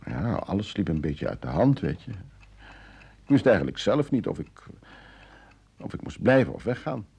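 A man playing an uneasy, evasive homebody husband in a Dutch radio play, speaking haltingly. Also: on the low side, very animated, gravelly, a little mellow, slightly rough, exaggerated voice. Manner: hesitant, halting. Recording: noisy old radio play recording.

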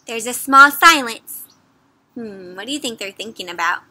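Young woman, children's read-aloud host. Very bright, high voice, animated and engaging with young listeners. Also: moderate pace. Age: young adult. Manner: animated, addresses listeners with frequent questions. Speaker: children's story reader, host. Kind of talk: interactive picture-book read-aloud for kids. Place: outdoors under trees.

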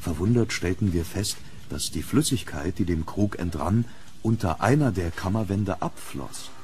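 A man playing an eager, commanding archaeologist in a horror radio play, dramatic and excitable. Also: deep voice, normal speed. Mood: absorbed, assured. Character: eager, commanding male archaeologist exploring a tomb. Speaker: audio drama actor. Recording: roomy, long echo, background noise.

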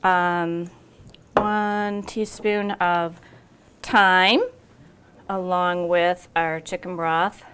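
A woman's voice: lowish voice, little pitch movement, drawn-out words, long pauses, fairly bright tone, slight background noise. A middle-aged woman hosting a cooking show in a home kitchen, chatting casually through each step with frequent ums and restarts.

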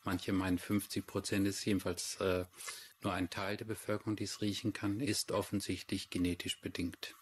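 Deep, even-toned male expert. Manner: halting, matter-of-fact. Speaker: forensic expert interviewee. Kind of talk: unscripted expert explanation. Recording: noticeable noise, room ambience.

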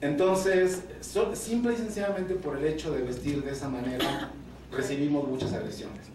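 A man from the port of Veracruz, an activist and group founder, speaking at a panel in a measured, halting way. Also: a little high, background noise. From port of Veracruz, Mexico. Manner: hesitant, many fillers, measured. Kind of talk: panel talk. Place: conference room. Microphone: table microphone, recorded by far camera. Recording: echoey room from far off.

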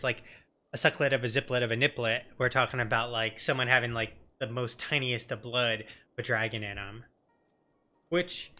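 A man's voice: medium pitch, pushed and bright. A man in his thirties giving casual, joking, rambling let's play commentary as a streamer.

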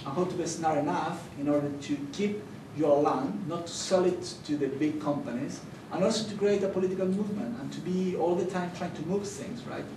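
A young man from Chile with a Chilean Spanish accent, speaking earnestly as a conference panelist and restarting his sentences often. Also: fairly high pitch. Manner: earnest, halting, with many fillers and restarts. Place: conference hall. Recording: echoey hall, distant mic, noisy.

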